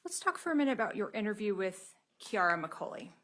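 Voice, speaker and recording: A woman with an American accent questioning a witness in a courtroom in a formal, measured manner as prosecutor. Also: mid-range voice, very animated, fairly bright tone, slight background noise. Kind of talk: courtroom examination.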